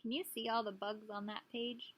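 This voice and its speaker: A woman's voice: a little high, flat delivery, normal speed, highs cut off. A woman, a preschool teacher, reading a picture book aloud to young children and prompting them with questions.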